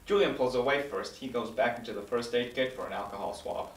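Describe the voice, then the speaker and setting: A man's voice: mid-range voice, fast, a little hiss or hum. A man reading stage directions as scripted narration at a table read in an echoey room. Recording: echoey room, distant mic.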